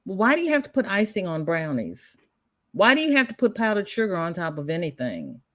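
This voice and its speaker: A woman's voice: fairly low pitch, huge pitch swings, fairly quick. A woman hosting a crochet livestream, chatting casually and rambling, reading out viewer comments, with a congested voice and frequent restarts. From American South. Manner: rambling, chatty, self-interrupting with repeated starts.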